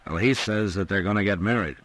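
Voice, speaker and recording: An American actor playing a steady, firm frontier marshal in a radio drama, questioning in a measured, authoritative way. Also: male voice, on the low side, lightly acted. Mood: thoughtful. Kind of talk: 1950s radio drama acting.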